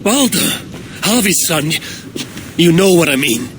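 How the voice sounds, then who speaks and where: A woman's voice: on the low side, big pitch swings, a little slow. A woman playing a proud, troubled Viking warrior in a video game, terse and grave. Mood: grieving. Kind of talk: dramatic video game acting. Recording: clear background ambience noise.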